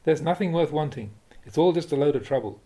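Mellow, expressive man reading Buddhist teachings. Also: fairly high pitch, fairly quick. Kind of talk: audiobook-style reading of a dharma talk with questions and answers.

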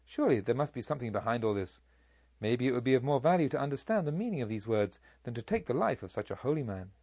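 A man playing a proud, vengeful robber chief who tells his own tale in measured, formal storytelling. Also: mid-range voice, big pitch swings, a little mellow, breathy edge, no echo, highs cut off. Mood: baffled, indignant. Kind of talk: audiobook story narration in character.

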